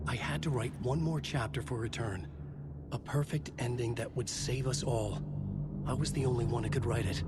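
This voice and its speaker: An actor playing a troubled middle-aged horror writer, speaking gravely and deliberately in short, terse phrases in a video game. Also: male voice, mid-range voice, lightly acted. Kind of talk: dramatic game dialogue and brooding narration. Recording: loud music behind the voice. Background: loud cinematic game music.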